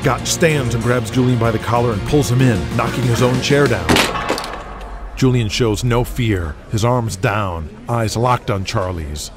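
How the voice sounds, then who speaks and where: A man narrating a dramatic scripted audio story in a measured, suspenseful way. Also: mid-range voice. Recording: loud music behind the voice. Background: loud music.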